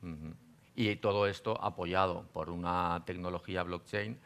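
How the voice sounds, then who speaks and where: Deep, gravelly man on a panel. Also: fairly even intonation, normal speed, fairly bright tone. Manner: earnest, with restarts. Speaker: panel guest, company representative. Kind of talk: panel talk.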